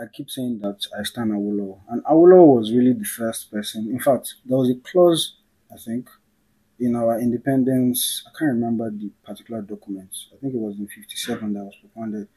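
A man's voice: mid-range voice, fairly quick, husky, rough recording. A man from Nigeria hosting a casual political chat, thoughtful and often correcting himself as he goes. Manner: thoughtful, self-correcting, trails off quietly. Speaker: podcast host.

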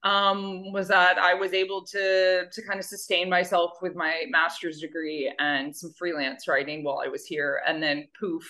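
A woman's voice: fairly low pitch, level tone, moderate pace, hardly any pauses, sharp tone. A woman guest who founded an animal rescue, chatting casually in a rambling, self-deprecating way. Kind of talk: casual interview chat.

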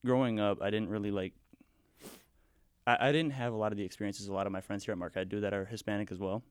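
A man's voice: on the low side, steady tone, fast talker, clear, resonant tone, no room sound, clean studio sound. A young man from the US Midwest who grew up speaking Spanish at home, a guest chatting in a laid-back, rambling way. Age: young adult. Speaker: podcast guest. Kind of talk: casual conversation.

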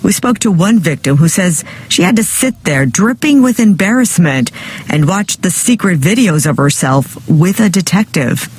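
A woman's voice: fairly low pitch, animated, normal speed, darkish tone, slight background noise. A woman narrating a scripted television news report as a reporter.

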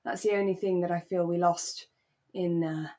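British female podcast co-host, slightly lower register, easygoing chatty delivery. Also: steady tone, normal speed, warm tone. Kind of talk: relaxed conversational chat about a radio drama.

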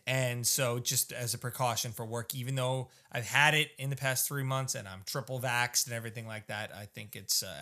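A middle-aged man co-hosting a casual podcast chat, mock-grumpy and teasing, often trailing off quietly. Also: medium pitch. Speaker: podcast co-host.

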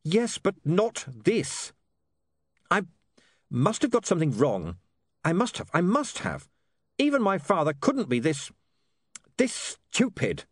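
A man acts out an earnest young man from a privileged family in a storybook. He is bitter and emphatic, with clipped phrases in a lifted, lively pitch. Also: slow pace, pauses often, slightly rough, no room sound, clean studio sound, heavily acted. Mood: agitated, bitter.

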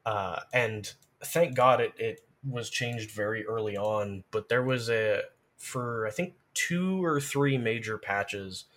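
A man's voice: fairly low pitch, slow, few pauses, a bit bright. A man talking as a podcast guest, casually explaining things in detail like someone who knows the subject well. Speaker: podcast guest, game lore and gameplay expert.

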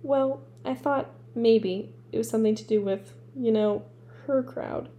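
A woman's voice: on the high side, measured pace, warm tone, natural voice. A woman voicing a nervous, stuffy middle-aged husband who mumbles hesitantly.

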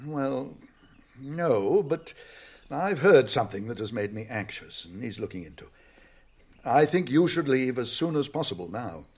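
Husky old British wizard, stern. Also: male voice, mid-range voice, big pitch swings. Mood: grave, urgent. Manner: authoritative, deliberate, brisk questioning. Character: old wizard, stern, shrewd, commanding yet kindly. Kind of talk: British radio drama acting.

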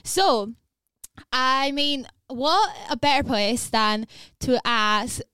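Excited, high-pitched woman podcast host. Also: slow, clean tone, dry recording. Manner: excited, gushing. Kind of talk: casual podcast chat.

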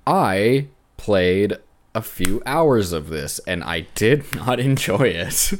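Male podcast co-host, low register with very lively intonation, casual and a little halting in delivery. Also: a little hiss or hum. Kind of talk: casual unscripted video game discussion.

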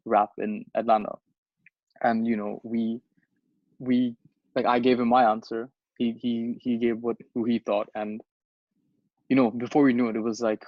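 A man's voice: mid-range voice, darkish tone, dry recording, muffled recording. A young man from Bangladesh co-hosting a podcast, telling a story in a rambling, casual way with lots of restarts and fillers. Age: young adult. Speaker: podcast co-host. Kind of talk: casual chat.

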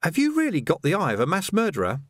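A man doing a high, boyish young constable. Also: huge pitch swings, quick pace, a bit bright, slightly rough, no echo, clean studio sound, full character voice. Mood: eager. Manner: cheeky, sniggering. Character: young eager male lance constable.

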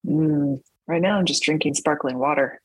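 Woman from Ohio, podcast guest, husky and low, brash joker, dry close mic. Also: normal speed, no echo, poor audio. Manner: loud, joking and blunt. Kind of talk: casual chat.

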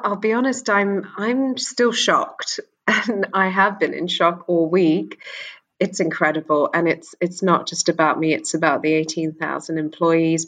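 A woman's voice: fairly low pitch, fairly bright tone. A middle-aged woman born in England, speaking candidly and earnestly as an interview guest, often repeating words as she starts a thought. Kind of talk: conversational interview.

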